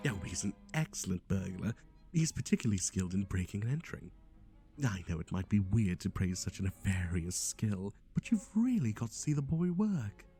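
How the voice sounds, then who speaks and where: Man acting a low, mellow, animated matchmaker. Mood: wry, teasing. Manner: theatrical, conversational, teasing. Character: chatty, playful male matchmaker for obsessive yandere boyfriends. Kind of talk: ASMR roleplay monologue.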